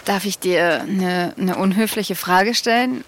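A woman's voice: medium pitch, measured pace, a little hiss or hum, lightly acted. A woman acting a cynical young woman in an audio drama, speaking hesitantly and often repeating her words. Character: young woman, cynical, searching, self-doubting.

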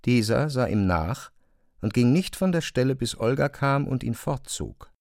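A man's voice: mid-range voice, animated, normal speed, vocal fry, no echo, studio quality. A man narrating literary fiction from a script for an audio drama.

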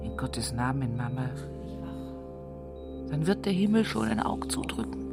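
Radio play: devout, wistful country woman, Bavarian accent, intimate and vivid, very varied pitch, music underneath. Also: sing-song, measured pace, vocal fry, full character voice. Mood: tender, grieving. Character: rural Upper Palatinate woman, devout, humorous, wistfully reminiscing. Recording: music behind the voice.

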